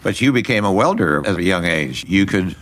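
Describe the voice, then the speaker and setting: A man with a very deep voice acts as the interviewer. He speaks conversationally, putting questions and short remarks to his guest as in an oral history. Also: lively intonation, fairly quick, a bit bright.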